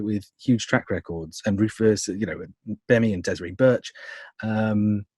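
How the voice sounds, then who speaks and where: A man's voice: lowish voice, a little mellow, breathy edge, no room sound. A man talking enthusiastically in an interview as an audio producer, wordy and often rephrasing as he goes. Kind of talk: conversational interview about creative work.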